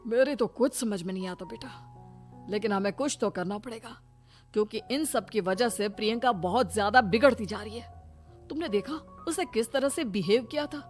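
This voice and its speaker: A woman's voice: a little high, moderate pace, lightly acted. A woman voicing an older, paternal father-in-law who advises patiently in a cartoon moral story. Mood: scheming, advising. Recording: music bed behind voice.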